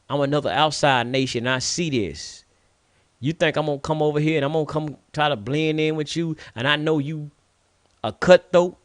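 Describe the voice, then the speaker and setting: A man's voice: fairly high pitch, no room sound. Fired-up preachy man, dry sound. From United States. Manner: preachy, scolding, repetitive emphasis. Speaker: radio show host. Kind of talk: impassioned monologue.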